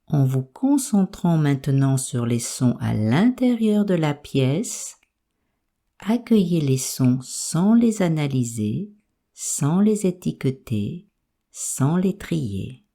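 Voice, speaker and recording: A woman guiding a yoga nidra relaxation, giving calm, soothing, measured instructions with pauses. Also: low-pitched. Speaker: meditation guide.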